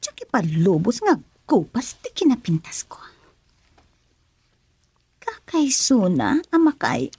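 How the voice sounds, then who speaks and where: An actress playing a vain, doubting middle-aged wife in an Ilocano radio drama, with a husky, breathy voice. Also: female voice, on the high side, big pitch swings, slow, long pauses, warm tone, dry recording, exaggerated voice. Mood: self-pitying.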